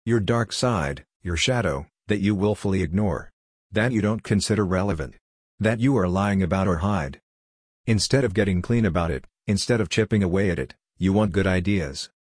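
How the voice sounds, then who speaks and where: A man's voice: sits low, normal speed, no room sound, clean studio sound. An older man from Hungary, a native Hungarian speaker, giving a blunt, lecturing monologue as a workshop leader. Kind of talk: scripted monologue.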